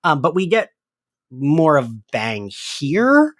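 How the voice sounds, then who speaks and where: Animated, chatty male podcast host. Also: fairly high pitch, takes it slowly. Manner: giggly, filler-heavy, rambling. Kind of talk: casual chatty recap discussion.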